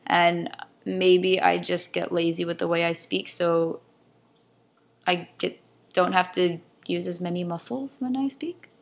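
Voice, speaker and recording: A woman's voice: medium pitch, fairly bright tone. A young woman from California with a mixed, Chinese-influenced Californian accent, rambling hesitantly in a casual vlog rant. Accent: California, mixed with a Chinese-immigrant lilt. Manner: rambling, hesitant, thinking aloud with many ums. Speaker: vlogger.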